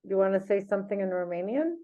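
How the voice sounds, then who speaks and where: A woman's voice: medium pitch, expressive, fast. A woman chatting casually as a podcast co-host, musing in a reflective way. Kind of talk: casual unscripted conversation. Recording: thin phone-like audio.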